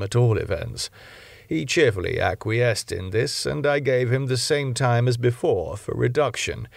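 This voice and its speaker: Male literary narrator, gravelly edge, measured and expressive, recorded close and dry. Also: mid-range voice, dry recording. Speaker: audiobook narrator.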